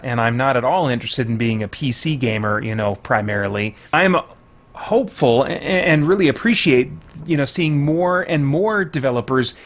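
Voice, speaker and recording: A man giving a casual video game review as host, thinking aloud and qualifying his points in a conversational way. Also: mid-range voice. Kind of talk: casual spoken review commentary.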